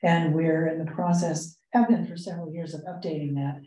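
A woman leading a committee meeting, explaining items in a halting, measured way. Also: sits low, fairly even intonation, mellow. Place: indoor meeting room. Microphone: distant room microphone. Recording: roomy, distant meeting-room microphone.

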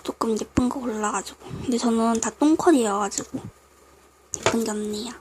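High-voiced woman with a cold, noisy recording. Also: normal speed. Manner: apologetic, muttering self-critical asides. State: voice affected by a cold. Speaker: craft video host. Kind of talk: casual miniature craft tutorial narration. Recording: noisy home recording with clicks.

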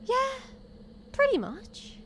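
A woman's voice: extremely high pitch, wildly swooping pitch, drawn-out words, fairly bright tone, exaggerated voice. An actress playing a feisty young female companion in an audio drama. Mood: cheeky.

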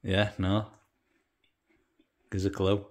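Low-voiced teasing man behind the camera. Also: completely flat delivery, drawn-out words. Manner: teasing, laughs a lot. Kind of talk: casual chat behind the camera. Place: home kitchen. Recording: kitchen with clattering dishes.

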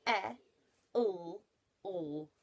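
A woman voicing a boastful, self-important big elephant in a storybook, with a booming, emphatic manner. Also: very slow, gravelly, exaggerated voice.

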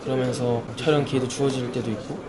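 A man's voice: medium pitch, little pitch movement, fast talker, crowd noise. A young man in his twenties with a Busan accent, answering interview questions earnestly and politely. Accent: Busan Korean. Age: 20s. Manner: earnest, polite, a little hesitant. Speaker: interviewee, aspiring actor.

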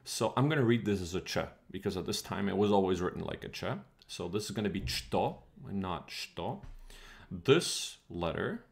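A man's voice: sits low, animated, normal speed, creaky. A man whose first language is Croatian, casually reading and translating an old text on a live stream, thinking aloud with many fillers.

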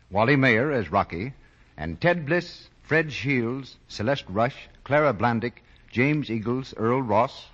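A man's voice: medium pitch, a bit bright, breathy edge. A man reading scripted announcements as a 1940s radio announcer. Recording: dull vintage 1940 radio broadcast recording.

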